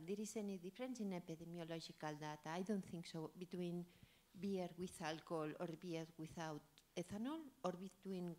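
Low-pitched woman asking questions. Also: a little mellow. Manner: hesitant, searching for words. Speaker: audience member asking questions. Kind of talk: questions from the floor.

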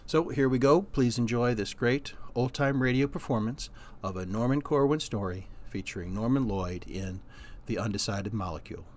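A man's voice: medium pitch, moderate pace, a little mellow. A man hosting a radio rerun show, giving a spoken introduction to a program. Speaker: host of old-time radio rerun show.

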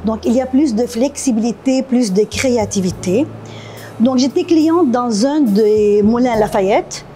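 A woman's voice: a little high, measured pace, warm tone, a little hiss or hum. A middle-aged woman from Lebanon with a Lebanese accent, answering interview questions conversationally as a café owner. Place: indoor café.

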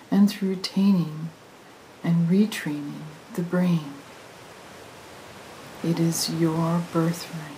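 A woman leading a guided meditation as a hypnotic induction, soothing and often dropping to a breathy near-whisper. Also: low voice, poor audio. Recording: hissy recording with steady noise.

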